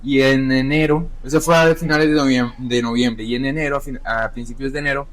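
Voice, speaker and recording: A young adult man, a chef, talking candidly about his life in a halting, self-correcting way. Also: medium pitch, steady tone. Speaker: chef, podcast guest. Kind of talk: candid personal conversation.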